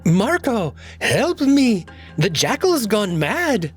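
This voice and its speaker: A man's voice: high-pitched, big pitch swings, takes it slowly, breathy edge, faint music behind, voice pushed up, heavily acted. A man voicing a small timid male cat in a visual novel, stammering guiltily and pleading. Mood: panicked. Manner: stuttering, breathless pleading.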